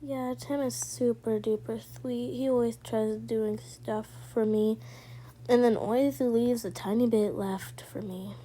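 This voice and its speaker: Slow, mellow woman narrating casually. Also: on the high side, fairly even intonation, slight background noise. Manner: informal, talking through each small step. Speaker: video creator. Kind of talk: casual voiced role-play narration.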